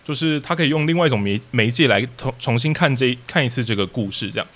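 A man's voice: medium pitch, animated, very fast, a bit bright. A man with a Taiwanese Mandarin accent, answering casually as a podcast guest and explaining things as he thinks aloud. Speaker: guest, radio drama troupe leader.

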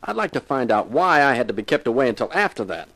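A man's voice: medium pitch, very animated, quick pace, fairly bright tone. A man playing a tough, wisecracking private eye in a 1950s radio detective drama, with a wry way of talking. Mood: determined. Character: wisecracking, hard-boiled male private eye with a grudge. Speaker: lead actor and narrator. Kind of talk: 1950s radio detective drama, first-person narration and dialogue.

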